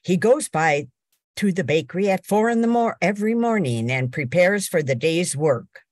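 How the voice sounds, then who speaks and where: A woman's voice: on the low side, animated, moderate pace, a little mellow, dry recording, clean studio sound. An older woman playing the announcer of an old-time radio play, reading scripted scene-setting narration. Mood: matter-of-fact.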